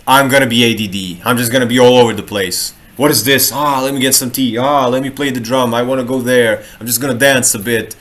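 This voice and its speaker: A man's voice: medium pitch, fairly even intonation, brisk, few pauses, fairly bright tone. Man, rambling live talk. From Croatia. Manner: rambling, restarts words, playful asides. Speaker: human design reader and street artist. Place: home room in Sweden.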